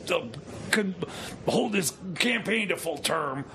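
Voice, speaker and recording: A man's voice: high voice, animated, slow, sharp tone, slight background noise, exaggerated voice. A man playing a jittery wheeler-dealer jailbird in improvised comedy radio theater, rambling, stammering and repeating himself. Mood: grumbling. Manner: rambling, stammering, muttering repetitions.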